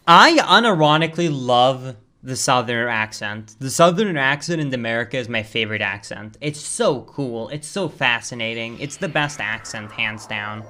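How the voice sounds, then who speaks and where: A young man with a Swedish accent, chatting casually and reacting loudly while he streams a game. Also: mid-range voice, expressive, moderate pace, few pauses. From Sweden. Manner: casual, chatty, swears and flares up over game lag. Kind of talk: live-stream gameplay commentary.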